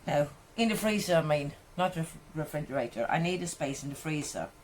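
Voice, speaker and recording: A woman's voice: sits low, animated, a little fast, slight background noise. A woman from Sweden with a Swedish accent, chatting casually about her cooking in a home kitchen, rambling and correcting herself as she goes. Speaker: cooking vlogger.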